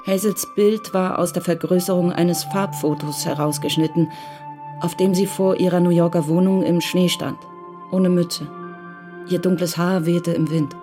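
A woman narrating a German radio play from a script in a measured, matter-of-fact way. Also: lowish voice, fairly even intonation, music in the background.

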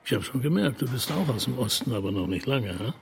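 A talkative, cynical male clinic patient in a radio play. His medium-pitched voice has a crackly, gravelly edge, and he talks in a chummy, needling way. Faint outdoor ambience with birds sits behind him. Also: very animated, quick pace. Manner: chummy, probing, colloquial. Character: talkative, cynical East German alcoholic man in clinic. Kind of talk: radio drama acting.

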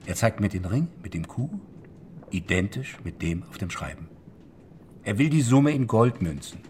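A man's voice: on the low side, animated, normal speed, darkish tone, a little hiss or hum, lightly acted. An actor playing a shrewd, worldly banker's man who tells of secret dealings in a theatrical, storytelling way in a radio drama. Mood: conspiratorial. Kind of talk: German radio drama acting. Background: music.

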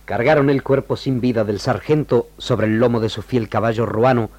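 A man's voice: mid-range voice, fairly even intonation, moderate pace, darkish tone. A man reading scripted narration for a radio drama. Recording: prominent music under narration. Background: music.

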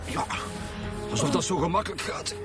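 An actor playing a steady, down-to-earth senior Flemish detective in a radio drama, with a matter-of-fact, authoritative way of talking. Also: male voice, high-pitched, moderate pace, natural voice. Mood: gruff, practical. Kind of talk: naturalistic radio drama acting. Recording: music behind the voice.

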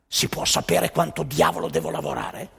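A man putting on a hulking Soviet factory worker who boasts about his drinking, with a gravelly voice. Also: on the high side, expressive, normal speed, fairly bright tone, in a large hall, full character voice. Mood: cocky. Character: burly Soviet factory worker, male, blunt and cocky.

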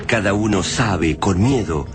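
A low-voiced Argentine man narrates a radio drama. His delivery is solemn and literary, with music clearly playing underneath. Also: unhurried.

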